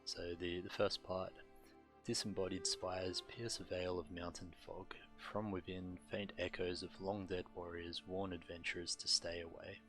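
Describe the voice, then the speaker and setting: A man streaming a game, commenting in a halting, matter-of-fact way and reading story text aloud. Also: low voice, a bit bright.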